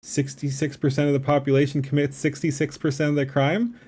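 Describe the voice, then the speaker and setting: A man's voice: a little high, flat delivery, a little fast, darkish tone, slightly rough. A man commenting live on a stream, talking emphatically in a rambling way, with lots of fillers and restarts. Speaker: streamer reacting to a debate clip.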